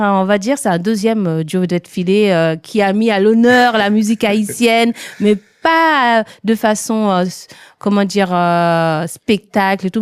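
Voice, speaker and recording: A woman's voice: mid-range voice, clean tone, dry recording, clean studio sound. Enthusiastic Haitian-born woman hosting. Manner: welcoming, enthusiastic, many fillers. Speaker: podcast host. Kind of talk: interview podcast.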